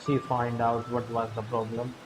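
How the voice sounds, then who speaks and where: A man's voice: medium pitch, monotone, normal speed, over music, rough recording. A man giving casual live reaction commentary as a game streamer.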